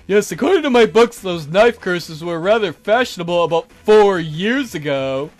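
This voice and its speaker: A man's voice: sits high, lively intonation, moderate pace, soft background music, voice pushed down. A man voicing a bookish, ominous curse expert in a visual novel, explaining in a matter-of-fact way. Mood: cautioning.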